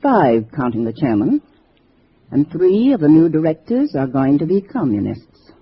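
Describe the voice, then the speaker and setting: An actress playing a calculating communist cell leader who gives businesslike orders in 1950s radio drama style. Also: female voice, low voice, sing-song, moderate pace, music in the background. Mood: firm warning. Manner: businesslike, instructing. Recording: dull vintage radio recording.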